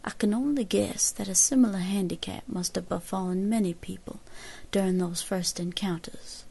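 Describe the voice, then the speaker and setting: An actress playing a grave 1870s American woman survivor, giving a measured, formal account in an audio drama. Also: mid-range voice, vocal fry, darkish tone, breathy edge. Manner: measured, formal old-fashioned storytelling.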